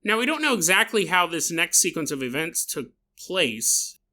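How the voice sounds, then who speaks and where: Lively, bright-voiced male podcast host. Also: fairly high pitch, moderate pace. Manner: jokey, laughs often, acts out side remarks. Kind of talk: comedic paranormal storytelling.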